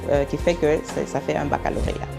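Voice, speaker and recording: An older woman from Congo speaks in a very low, gravelly voice as a talk-show guest. She recounts her studies and work in a measured way. Faint music plays behind her. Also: level tone. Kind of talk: interview answers.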